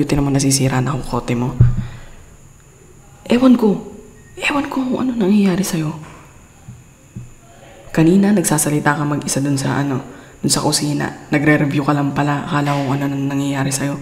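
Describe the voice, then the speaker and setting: A woman's voice: sits low, a little fast, long pauses, a little mellow. A woman with a Filipino accent performing an intimate ASMR roleplay as an exasperated but doting girlfriend, scolding playfully and coaxing tenderly. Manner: playful scolding mixed with tender coaxing, code-switching into English. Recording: echoey room, long reverb, faint background noise.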